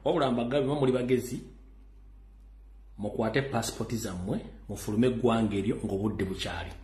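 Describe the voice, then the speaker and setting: A man's voice: mid-range voice, a little fast, darkish tone, echoey room. A Ugandan man giving urgent political commentary, warning listeners and mixing English into his talk.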